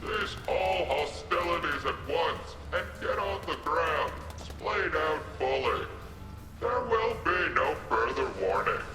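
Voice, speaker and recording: Man acting a booming, reverberant police announcer. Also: sing-song. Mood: stern, commanding. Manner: shouted, deliberate announcing. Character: stern, authoritarian male police officer issuing a warning. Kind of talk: audio drama acting. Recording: echoing long reverb, loud music.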